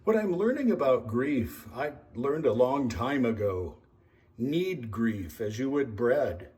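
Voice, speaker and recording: Male poetry reader, animated melody, slow delivery. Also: medium pitch. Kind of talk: spoken poetry reading.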